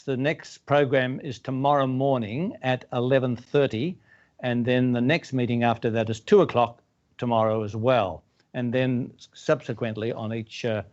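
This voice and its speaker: A man's voice: medium pitch, darkish tone, lo-fi sound. A man with an Australian accent, from Melbourne, preaching as a pastor and telling a story, with frequent hesitations. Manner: storytelling, many uh fillers. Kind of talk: sermon.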